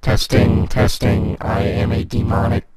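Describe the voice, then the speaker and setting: A man voicing a demonic robot, his speech doubled by a layered pitch-shifting filter, announcing slowly and deliberately. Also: monotone, a little mellow. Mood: menacing, flat.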